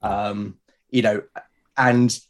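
A man's voice: lowish voice, flat delivery, extremely slow. A middle-aged man with a southern English accent, chatting and telling stories as an interview guest in a hesitant, reflective way. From South London, UK. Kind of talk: casual conversational storytelling.

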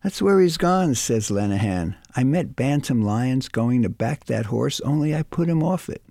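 An older man from the United States reads a novel aloud. He lifts his pitch sharply for each quoted speaker. His voice sits in a middle pitch, recorded close and dry. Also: gravelly, no room sound. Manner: lively, quick pitch jumps for quoted speakers. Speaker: guest reader of a novel. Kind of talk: audiobook reading with character voices.

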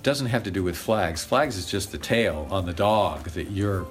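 Low male voice, emphatic explainer, rhetorical questions, faint music under. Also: lively intonation, normal speed. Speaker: flag expert guest. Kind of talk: lively explanatory interview talk.

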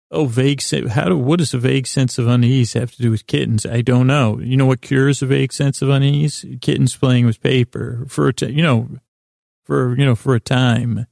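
A man hosting a bedtime sleep podcast, delivering a meandering monologue in a halting, stammering way that often trails off. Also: medium pitch, gravelly, a little mellow, no room sound, clean studio sound.

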